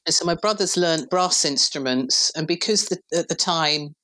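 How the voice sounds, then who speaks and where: A woman in her fifties from England with a very deep voice, a PR director talking thoughtfully as a podcast guest. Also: moderate pace, bright, forceful tone. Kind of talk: relaxed conversational interview.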